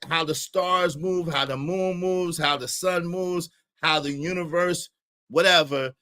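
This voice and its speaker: A man's voice: fairly high pitch, bright, forceful tone. An American man hosting a casual livestream, talking emphatically to viewers, repeating phrases and checking in with short tag questions. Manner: emphatic, repeats phrases, tags statements with "right?" and "okay?". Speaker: livestream host.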